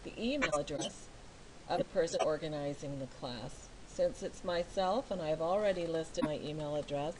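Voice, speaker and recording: A middle-aged American woman from California, a teacher talking slowly and patiently through each step of filling in a form online. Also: low-pitched, darkish tone, slight background noise, rough recording. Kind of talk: screen walkthrough tutorial.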